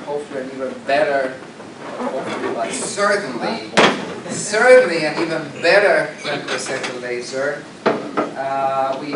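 A man's voice: mid-range voice, heavy background noise. A middle-aged man with a Romanian accent giving an informal lecture to colleagues, rambling with many fillers and self-corrections. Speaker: eye surgeon giving a lecture. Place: hotel conference room, indoors. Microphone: far camera. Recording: roomy, distant, loud dinner clatter. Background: cutlery and dishes clattering.

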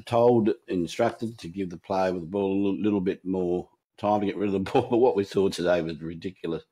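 A man's voice: low voice, warm tone. An Australian man talking sport in a casual chat, emphatic, with frequent restarts. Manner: emphatic, restarts often, chuckles while talking.

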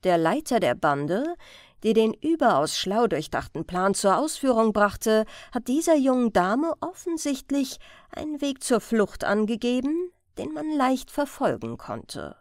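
A woman reading a crime story as an audiobook narrator in a measured, careful storytelling manner. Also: fairly high pitch, big pitch swings, no room sound, clean studio sound.

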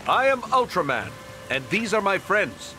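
An actor voicing a boastful male superhero with a booming, pompous heroic delivery in a video game. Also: very animated, normal speed, background noise. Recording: game soundtrack music.

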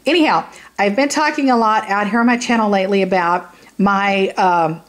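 A woman vlogger with a hoarse, raspy voice from sinus trouble, rambling casually through a supplement review to camera with lots of fillers. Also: mid-range voice. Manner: rambling, chatty, many fillers and "you know what I mean". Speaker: YouTube beauty vlogger. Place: indoors, filming a product close up.

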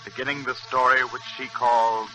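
A man's voice: mid-range voice, little pitch movement, moderate pace, close to an everyday voice, low-quality recording. A man playing a solemn, theatrical host of a 1940s radio mystery anthology. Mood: solemn, ominous. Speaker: program host. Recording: old 1940s radio, music behind.

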